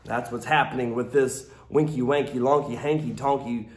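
A man's voice: medium pitch, fairly even intonation, normal speed. A man in his thirties reading a picture book to children in a theatrical, exaggerated way, with playful asides to his young listeners. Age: 30s. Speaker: children's storytime host, teacher. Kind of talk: animated picture-book read-aloud for kids. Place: classroom. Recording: roomy echo of a classroom.

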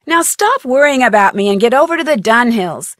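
An actress playing a polite, plucky young American woman detective in a video game, speaking earnestly and clearly. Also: fairly high pitch, animated, a little fast, dry recording, studio quality, natural voice. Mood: breezy. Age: young adult. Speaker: player character, detective.

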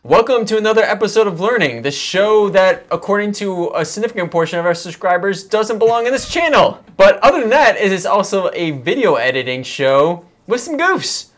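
A man's voice: high-pitched, lively intonation, fairly quick, hardly any pauses. A young man hosting a video editing tutorial in a casual, jokey chat style, on a lapel mic in a small room. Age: young adult. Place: small home studio room, indoors.

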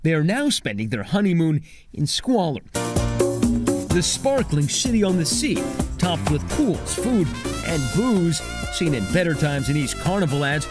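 A man reporting a news story as correspondent, with a dramatic, emphatic scripted delivery. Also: on the high side.

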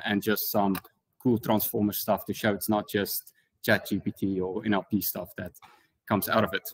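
A man's voice: mid-range voice, no echo, rough recording. A man with a South African accent presenting an informal technical tutorial as a conference speaker, explaining things in a casual way. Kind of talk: informal technical talk with slides.

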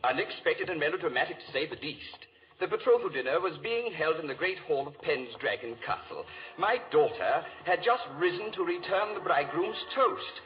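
A man's voice: on the high side, normal speed, soft background music, close to an everyday voice. An actor playing a worried, chatty English lord, a father, telling a tale in hesitant 1940s radio drama style. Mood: dramatic recounting. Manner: animated, hesitant storytelling. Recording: dull old radio recording.

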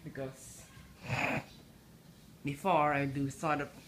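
A man vlogging indoors about a ceiling fan, holding a phone camera, talking in a halting way with frequent restarts. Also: on the high side, little pitch movement, a bit bright, slight background noise. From Philippines. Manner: halting, repeats words and restarts often. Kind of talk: casual filmed commentary. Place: indoors in a house kitchen. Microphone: handheld phone camera.

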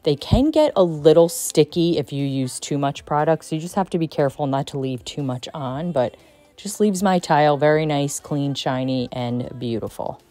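Chatty low-voiced woman vlogger. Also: animated, normal speed, mellow, no room sound, soft background music. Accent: Texan. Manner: chatty and enthusiastic, says "like" often. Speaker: cleaning vlogger. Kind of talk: casual voice-over narration.

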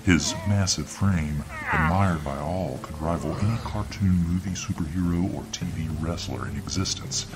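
A man narrating a scripted horror story in ASMR style, with an ominous, drawn-out delivery. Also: low-pitched. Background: background music.

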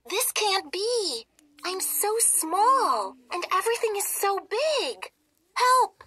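A woman voicing a small, easily upset princess turned into a mouse, squeaky and dramatic in a storybook reading. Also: sing-song, slow, bright, forceful tone, no echo, full character voice. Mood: panicked. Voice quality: squeaky, tiny. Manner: dramatic, plaintive.

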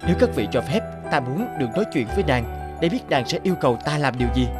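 Self-assured young royal suitor, expressive cartoon dub with music behind. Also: male voice, a little high, fast. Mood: courtly, admiring. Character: proud, insistent young emperor wooing a maiden. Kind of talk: Vietnamese children's cartoon dubbing.

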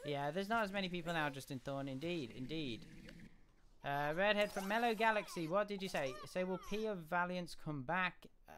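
A young man hosting a casual game livestream. He is chatty and rambling, with a slightly croaky voice. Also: sits high, moderate pace, fairly bright tone. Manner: chatty, rambling, often restarts and hums. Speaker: gaming livestream host. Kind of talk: casual live commentary. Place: home room. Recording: audible background noise.

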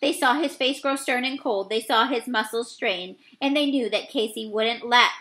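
High, bright-voiced woman reading aloud. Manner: halting, with misreadings and self-corrections. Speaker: read-aloud reader. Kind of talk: reading a poem aloud from a picture book. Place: small indoor room.

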